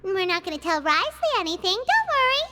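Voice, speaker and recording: A woman voicing a small, cheeky female fairy companion in a video game, with a squeaky childlike voice and a perky manner. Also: sing-song, fast talker, heavy background noise. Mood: coaxing. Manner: perky, speaks of herself in third person. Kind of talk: animated game voice acting.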